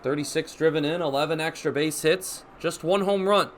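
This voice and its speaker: A young man calling baseball play-by-play for radio in crisp, descriptive phrases, as live sports commentary. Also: on the high side, moderate pace, a little hiss or hum. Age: young adult. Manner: crisp, descriptive phrases with excited calls on big plays. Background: ballpark ambience.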